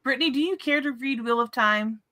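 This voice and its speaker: Bright-voiced woman hosting a book chat. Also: high-pitched, a little fast. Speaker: livestream book discussion host.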